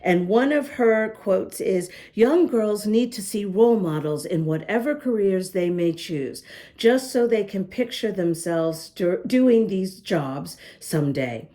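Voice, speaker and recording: A middle-aged woman reads a children's picture book aloud at a leisurely, unhurried pace. Her voice sits at an ordinary middle pitch, and her gentle manner lets her pause to comment on the pictures. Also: lively intonation. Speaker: children's story reader.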